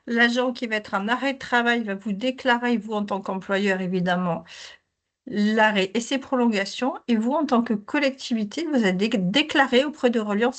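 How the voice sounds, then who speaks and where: A hoarse woman who manages a claims team, steadily explaining procedures in a training session over a video call. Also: fairly high pitch.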